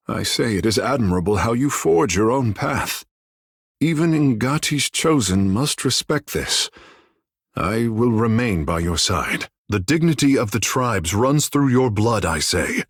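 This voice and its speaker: Young proud godlike from a fantasy game, lowish husky voice, talks all formal and poetic. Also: male voice, fairly bright tone, no echo, clean studio sound, full character voice. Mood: solemn, proud. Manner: formal, lyrical, theatrical phrasing. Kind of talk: video game character dialogue.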